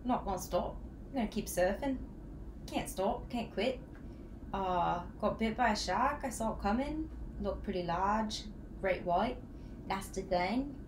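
A young woman playing an unfazed, dogged surfer in a comic monologue, speaking in a halting, understated deadpan. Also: medium pitch, close to an everyday voice. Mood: nonchalant, dogged. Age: young adult. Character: unfazed, dogged Australian surfer who lost a foot. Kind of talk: comic character monologue for an acting reel. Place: plain-walled indoor room. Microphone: camera mic a few feet away. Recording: echoey room, clear background noise.

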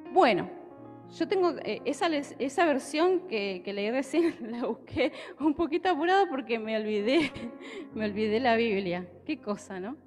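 A woman's voice: sits high, expressive, normal speed, a little mellow, faint music behind. A young woman from Argentina with an Argentine accent, preaching a sermon on a handheld mic in a church hall and often checking in with her listeners. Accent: Argentine Spanish. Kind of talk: sermon with Bible reading. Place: church hall with a stage, indoors. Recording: long church hall reverb.

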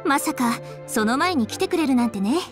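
A woman's voice: sits high, animated, moderate pace, music in the background. A woman playing a composed, diligent young female official in a video game, articulate and businesslike. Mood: composed, explanatory. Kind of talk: Japanese video game voice acting.

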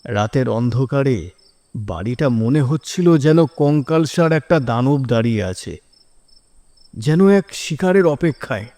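A man's voice: medium pitch, expressive, a little slow, warm tone, dry recording. A man narrating a scripted first-person horror story in a dramatic, suspenseful manner.

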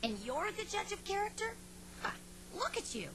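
High-voiced spirited young mechanic. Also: female voice, very animated, moderate pace, background noise. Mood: scornful. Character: young woman mechanic, spirited, disapproving daughter.

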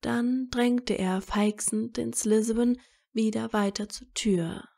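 A woman narrates a romantic story in a measured way. Her voice is mellow and relaxed with some creak, and she takes her time over each phrase. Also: on the high side. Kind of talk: scripted story narration of a romantic fan fiction.

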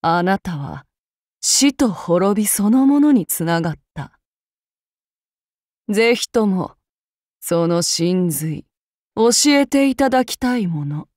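A voice actress playing a courteous, archaic-spoken samurai woman with a demon side, her soft formal speech giving way to shrill battle cries. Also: lively intonation, slow, long pauses, no room sound, clean studio sound. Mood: menacing, courteous. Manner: courteous and archaic with a menacing undertone. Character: polite, bloodthirsty demon-blooded samurai woman. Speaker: voice actress for a game character. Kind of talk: game character voice lines.

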